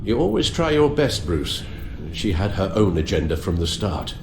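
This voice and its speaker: An actor playing a loyal older male butler who speaks in a measured, formal, protective way. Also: deep voice, very animated, creaky, noisy background, exaggerated voice. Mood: consoling. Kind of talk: video game voice acting. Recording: echoey game cutscene audio with music.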